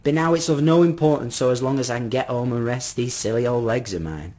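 A man voicing a polite, well-spoken little old gentleman in a storybook, pleading gently with old-fashioned, effusive courtesy. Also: medium pitch, a little fast, close to an everyday voice. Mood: wistful, pleading.

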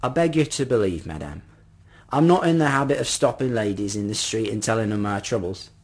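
A man voicing a polite, well-spoken little old gentleman in a storybook, pleading gently with old-fashioned, effusive courtesy. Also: fairly low pitch, animated, a little fast, husky. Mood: courteous, apologetic.

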